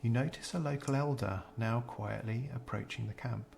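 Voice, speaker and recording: A man narrating a scripted guided meditation in a measured, soothing, gentle way, pausing between phrases. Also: medium pitch, vocal fry.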